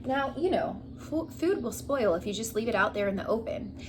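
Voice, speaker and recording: A woman's voice: on the high side, animated, moderate pace, a little hiss or hum, close to an everyday voice. A woman voicing a male wolf who tells his own storybook tale in an aggrieved, self-justifying, conversational and dramatic way. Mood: confiding. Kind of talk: children's storybook read-aloud.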